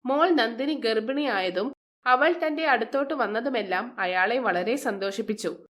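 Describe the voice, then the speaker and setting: A woman's voice: on the high side, moderate pace, fairly bright tone. A woman narrator telling a scripted moral story.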